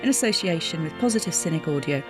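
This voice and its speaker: A woman reads scripted end credits as an announcer. Her voice sits slightly low, with music clearly playing behind it. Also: expressive, quick pace.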